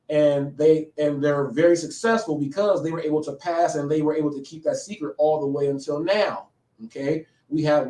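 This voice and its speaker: A man's voice: fairly high pitch, darkish tone. A man in his late thirties hosting a live show, talking in a rambling way and often checking in with "okay?". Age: 30s. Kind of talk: casual live commentary. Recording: echoey room, a bit far from mic.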